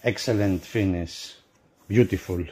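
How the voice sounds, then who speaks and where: Slow, mellow man reviewing. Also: low voice. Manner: halting, broken phrasing in non-native English. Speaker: product reviewer for a magazine. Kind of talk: unboxing commentary.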